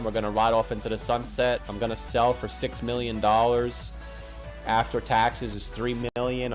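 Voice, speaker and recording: A man's voice: medium pitch, low-quality recording. A young man hosting a casual livestream chat, talking in a brash, rambling, slangy way. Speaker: streamer host.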